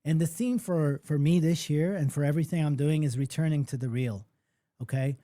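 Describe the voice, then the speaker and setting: Polish-born guy hosting a music workshop, chatty storyteller vibe, kinda high but mellow voice, dry close sound. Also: a little fast, dry recording. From Poland. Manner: conversational, animated storytelling with frequent "okay?" and "right?". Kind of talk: live workshop pitch.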